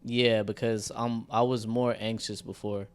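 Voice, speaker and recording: A man's voice: lowish voice, flat delivery, measured pace, smooth. A young man co-hosting a podcast, telling stories in loose, profane slang. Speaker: podcast co-host. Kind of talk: casual chat.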